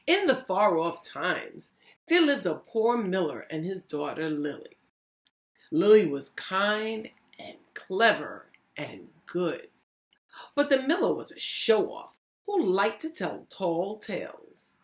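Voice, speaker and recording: A woman hosting storytime, reading a children's story aloud with expressive, deliberate phrasing. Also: mid-range voice. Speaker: storytime host, reading teacher.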